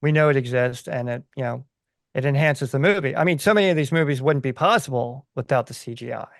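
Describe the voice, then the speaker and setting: A man co-hosting a podcast in casual discussion, explaining his opinions in a halting, conversational way. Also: mid-range voice, creaky, no room sound, clean studio sound. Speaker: podcast co-host.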